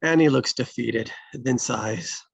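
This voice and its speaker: A narrator reads out screenplay action lines. The voice is gravelly and rough-edged, recorded close and dry. The delivery is plain scripted narration. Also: medium pitch, slow, no echo, lo-fi sound. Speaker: stage-direction reader.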